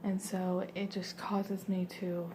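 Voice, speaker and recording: A young woman whispering softly, rambling through a personal story with lots of fillers. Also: on the low side, little pitch movement, slow, noisy background.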